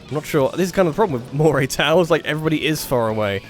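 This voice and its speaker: A man's voice: on the high side, animated, racing, faint music behind. A man casually commentating an online match he organised, slightly nasal, rambling and correcting himself as he calls the play. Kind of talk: casual live game commentary.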